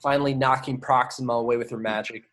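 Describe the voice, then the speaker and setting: A man's voice: medium pitch, steady tone, quick pace, a bit bright. A man reading screenplay action lines at a table read, matter-of-fact with the odd stumble. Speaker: stage-direction reader.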